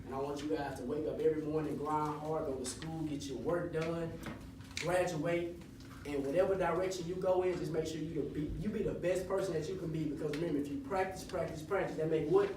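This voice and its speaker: A man in his twenties giving a casual, encouraging motivational talk to high school students and leading them in chants. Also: fairly even intonation, brisk. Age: 20s. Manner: casual, encouraging, leads call-and-response chants. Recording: echoey hall, voice from far off, audible room noise.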